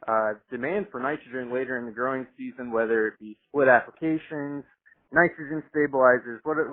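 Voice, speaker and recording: A man co-presenting on a business conference call in an informal, explanatory style. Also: medium pitch. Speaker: products specialist co-presenting and handing over questions. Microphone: telephone conference call. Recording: narrow, phone-like conference line.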